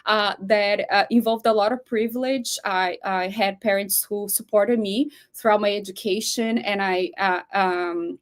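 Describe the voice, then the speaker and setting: A woman's voice: mid-range voice, fairly even intonation, unhurried, hardly any pauses. A woman from Brazil whose first language is Portuguese, speaking with a Brazilian accent and giving a chatty webinar lecture over a video call, full of fillers. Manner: warm, chatty, frequent fillers and laughs. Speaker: guest speaker, professor.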